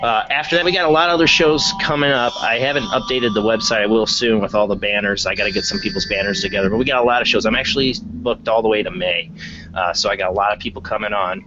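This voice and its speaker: A man's voice: lowish voice, fast, lo-fi sound, noisy background. A man casually hosting a paranormal call-in podcast, talking in a rambling, informal and repetitive way. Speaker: host of a paranormal call-in show.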